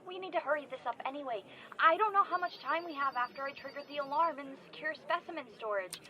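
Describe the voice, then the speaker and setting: A woman's voice: high-pitched, fast, lo-fi sound, close to an everyday voice. A woman playing an impatient, bossy young woman on a covert mission in an audio drama. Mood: urgent.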